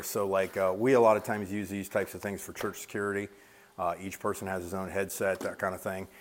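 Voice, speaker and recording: Middle-aged American man, low voice, off-the-cuff product reviewer explaining features casually; noisy home recording. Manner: conversational, explanatory. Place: open-plan dining room at home, indoors.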